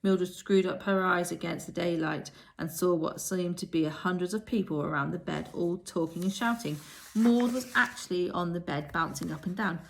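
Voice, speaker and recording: A woman reading a children's story aloud as an expressive narrator. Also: lowish voice, moderate pace, vocal fry, low-quality recording. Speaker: storybook reader.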